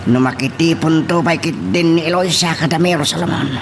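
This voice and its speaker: A man's voice: on the high side, moderate pace, creaky, background noise. A man with an Ilocano accent playing a chatty, deferential aide to a rich madam in an audio drama. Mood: gossipy.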